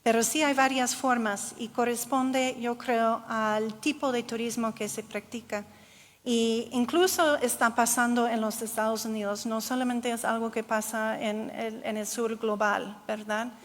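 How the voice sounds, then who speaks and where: A woman's voice: a little high, little pitch movement, a little slow. A woman giving a keynote talk, answering audience questions in careful Spanish that is not her first language, with restarts. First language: English. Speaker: keynote speaker. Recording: long hall reverb.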